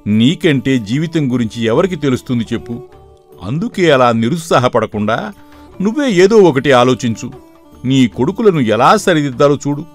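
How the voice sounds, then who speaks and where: A man playing a kindly elderly village uncle who consoles and advises in an animated moral story. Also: on the high side. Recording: background music throughout.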